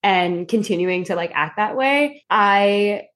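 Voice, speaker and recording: A woman in her twenties, a guest on a podcast, telling stories animatedly with many fillers and slowing down to explain. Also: medium pitch, expressive, a bit bright. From Filipino heritage. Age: 20s. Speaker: podcast guest, psychic reader. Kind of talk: casual interview chat.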